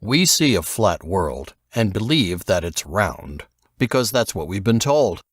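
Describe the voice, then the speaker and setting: A man voicing a grave, assured male investigator who lectures in a measured way, in an audiobook. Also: on the low side, big pitch swings, slightly rough, dry recording, clean studio sound, exaggerated voice. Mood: assured, didactic. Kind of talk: audiobook character dialogue.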